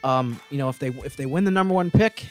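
A man co-hosting a sports podcast, chatting casually in a measured, explanatory way with some hesitation. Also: mid-range voice, soft background music.

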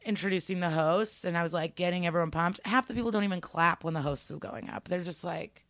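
A woman's voice: fairly low pitch, fast, fairly bright tone, dry recording. A young woman from Ukraine, a stand-up comedian, chatting casually as a podcast guest and telling stories with many fillers.

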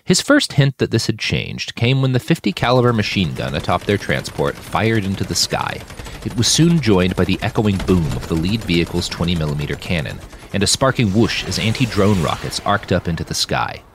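A man reading scripted action fiction aloud in an expressive, dramatic narrating style. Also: deep voice, fairly quick, noisy background. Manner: expressive, shouts out characters' lines. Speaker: audiobook narrator reading own fiction podcast.

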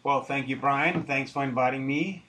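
A middle-aged man from Denmark, talking conversationally and haltingly as an interview guest. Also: medium pitch, sharp tone, poor audio. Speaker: interview guest, tobacco businessman. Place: studio, live interview. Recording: clear background noise.

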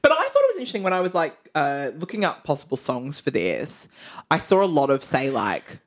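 A woman with a low speaking voice chats casually as a co-host about singing. Her pitch moves around a great deal as she talks. She laughs often and leaves thoughts half-finished. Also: big pitch swings, a little fast. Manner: chatty, laughs often, trails off mid-sentence. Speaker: podcast co-host, singer. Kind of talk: casual chat about musical theatre songs.

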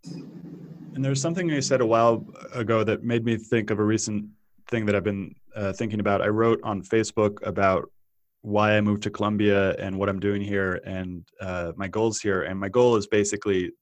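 A man's voice: fairly low pitch, steady tone, normal speed. A man hosting an interview, asking questions and thinking aloud as he goes. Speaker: podcast host. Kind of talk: interview conversation.

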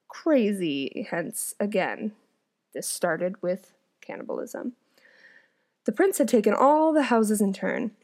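A woman's voice: medium pitch, creaky, darkish tone. A young woman reading a folk tale aloud and breaking off for casual, amused, conversational asides, often chuckling.

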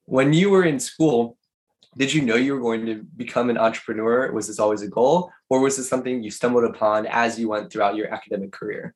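Male podcast co-host, even pitch, unhurried and curious questioning. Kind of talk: interview questions.

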